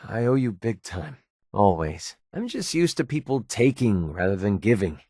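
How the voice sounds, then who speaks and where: A man's voice: fairly low pitch, very animated, moderate pace, dark tone, no echo, clean studio sound. An actor playing a sardonic young male mercenary in a video game, with an American accent and a casual, grumbling way of talking. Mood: earnest. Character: wry young male mercenary sniper in a post-apocalyptic wasteland. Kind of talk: video game companion dialogue.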